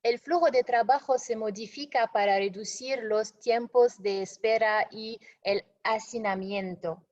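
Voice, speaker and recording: A woman presenting slides in a webinar in a language that is not her native one, pausing often with fillers and restarting words. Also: fairly high pitch, steady tone, darkish tone, highs cut off. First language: not Spanish. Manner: halting, frequent fillers and self-corrections.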